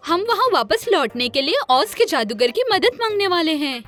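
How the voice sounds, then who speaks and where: A woman's voice: very high voice, sing-song, fairly bright tone, soft background music, full character voice. A woman voicing a polite, earnest, brave young girl in a children's cartoon story.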